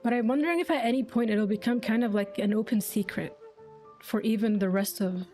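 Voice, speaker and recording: A woman's voice: a little high, a little fast, mellow, faint music behind. A woman streamer giving casual play-along commentary on a video game, musing aloud informally.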